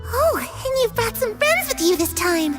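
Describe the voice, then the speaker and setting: A woman's voice: extremely high pitch, sing-song, unhurried, full character voice. A woman voicing a sweet, friendly little ghost girl in a video game, childlike and polite. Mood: cheerful, welcoming. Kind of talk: English game voice acting. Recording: loud music behind the voice. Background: game background music.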